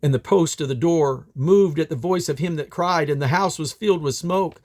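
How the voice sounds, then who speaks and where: A man with a creaky, gravelly voice reads the Bible aloud in a deliberate, solemn way, phrase by phrase. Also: fairly high pitch, a little mellow. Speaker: Bible reader. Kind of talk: scripture reading aloud.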